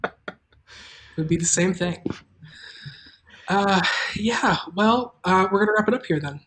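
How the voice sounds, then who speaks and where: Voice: mid-range voice, takes it slowly, a bit bright. An informal, chatty host speaking in a midrange voice on a casual livestream. The delivery rambles loosely, like talk among friends who make an audio drama together.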